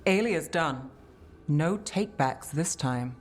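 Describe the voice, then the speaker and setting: An actress playing a guarded, world-weary detective in a fantasy video game, speaking in a wry, clipped, restrained way. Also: female voice, low-pitched, lively intonation, slow, a little hiss or hum. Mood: quiet resolve. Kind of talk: video game cutscene acting.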